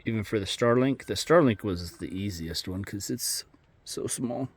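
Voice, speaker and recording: A man's voice: on the low side, moderate pace, slightly rough, no echo. A man with an American accent giving a casual, plainspoken hands-on walkthrough as a vlogger. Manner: plainspoken, informal. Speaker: vlogger showing his vehicle radio install.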